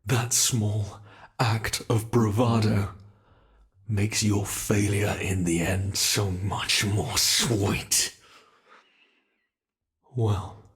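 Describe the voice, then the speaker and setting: A man acts out a centuries-old male monster on the hunt in an ASMR roleplay. The voice is fairly low and full of gravelly fry, delivered very slowly. He is possessive and theatrical, savoring each taunt with haughty, gleeful menace. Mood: smug, triumphant.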